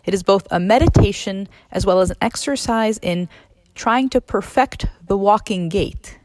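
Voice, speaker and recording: A woman narrating a guided meditation as an instructor, in a measured, instructional way with pauses between phrases. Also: medium pitch, darkish tone, no room sound, rough recording. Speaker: neurologist leading a guided meditation.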